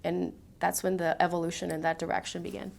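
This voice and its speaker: A woman's voice: fairly low pitch, level tone, fast talker, gravelly. Brazilian-born woman, earnest storyteller. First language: Portuguese. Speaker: guest, singer and speaker coach. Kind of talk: interview conversation.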